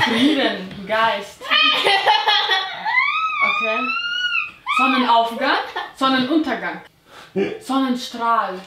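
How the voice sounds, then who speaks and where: Lively, high-voiced young woman. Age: 20s. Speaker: player in a charades game. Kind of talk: casual banter during a game. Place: small bedroom, indoors. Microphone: distant camera microphone. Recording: echoey room, distant mic, faint noise.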